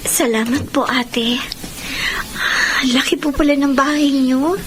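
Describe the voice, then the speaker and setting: A woman's voice: high voice, steady tone, takes it slowly, background noise. A woman playing a timid, humble 15-year-old runaway girl in an audio drama, speaking hesitantly and very politely with stammers. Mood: shy, timid. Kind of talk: Tagalog radio-style drama acting.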